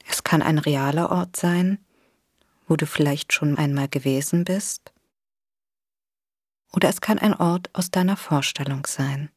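A woman leading a guided imagery relaxation exercise in a soothing, gentle, unhurried way with long pauses. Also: low-pitched, husky, dry recording, studio quality. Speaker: guided meditation instructor.

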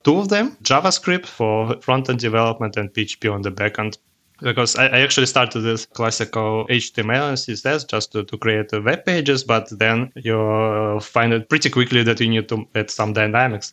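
A man from Russia with a Russian accent answers interview questions conversationally, in halting, hesitant non-native phrasing. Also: fairly low pitch, fairly bright tone. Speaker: podcast guest, tech executive.

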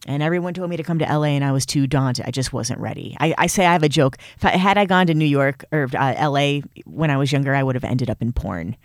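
A man's voice: mid-range voice, quick pace, few pauses, no room sound, clean studio sound. A man from Michigan with a Midwestern accent, a host and actor, chatting eagerly in an interview. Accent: Midwestern American. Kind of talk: casual interview chat.

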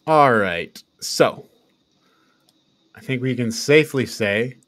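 A man's voice: mid-range voice, lively intonation, slow, a bit bright, no echo. A man hosting a podcast, talking casually as he reviews and discusses with others. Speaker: podcast host.

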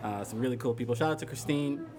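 A man's voice: medium pitch, fast talker, music in the background. A man from the Bronx hosting a live podcast in a bar, talking in an easygoing, laid-back way and laughing often. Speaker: podcast host. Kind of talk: casual live podcast chat. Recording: live bar recording with crowd. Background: crowd chatter.

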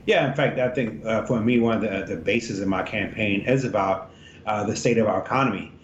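A man from Ethiopia answers interview questions, stopping and restarting often with many fillers. His voice sits a bit low. He sounds like he is in an echoey room on a dull, muffled line with a faint rumble underneath. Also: a little fast. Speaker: congressional candidate guest.

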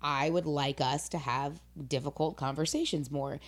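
A woman hosting a casual, philosophical conversation, speaking in an earnest, deliberate, opinionated way. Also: low voice, steady tone.

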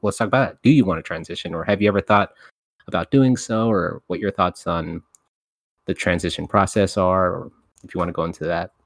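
A man hosting a casual podcast, animated and quick to laugh as he talks. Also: low-pitched. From United States. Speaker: podcast host.

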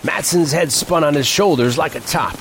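A man playing an earnest reporter who narrates an eerie western expedition in a deliberate, descriptive way for an audio drama. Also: fairly high pitch, creaky, a bit bright, slight background noise, rough recording. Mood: earnest, foreboding. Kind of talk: first-person scripted audio drama narration. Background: music.

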